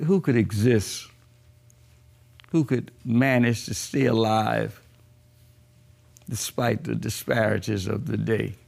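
A man in his eighties, born in the Bahamas, telling his life story slowly and reflectively in an oral history interview. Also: medium pitch, creaky, darkish tone. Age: 80s.

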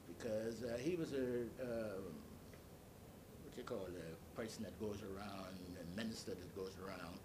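An older man giving an oral history interview, telling his story haltingly and searching for words. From Bahamas. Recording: clearly noisy, low rumble behind.

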